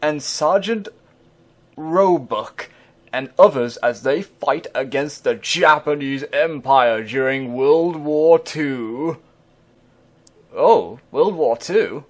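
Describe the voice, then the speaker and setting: A man's voice: fairly high pitch, animated, slow pace. A man hosting a casual reading podcast, wry and chuckling. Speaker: host.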